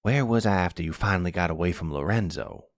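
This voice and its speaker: A man's voice: deep voice, animated, fast, no echo. A man playing a domineering old grandfather who is a police officer, folksy, sardonic and scolding, in a roleplay audio drama.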